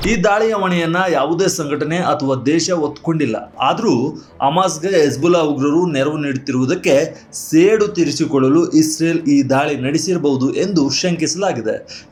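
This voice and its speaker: A man reading a scripted news voice-over with brisk, emphatic headline delivery. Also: on the high side, darkish tone. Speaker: news narrator. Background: faint music bed.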